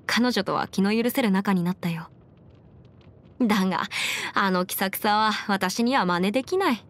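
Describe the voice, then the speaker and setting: A woman voicing a confident, brisk young half-immortal lawyer in an anime game, with crisp, formal phrasing and music behind her. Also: mid-range voice, close to an everyday voice. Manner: crisp, self-assured, formal mannish phrasing. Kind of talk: scripted anime game character voice lines. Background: background music.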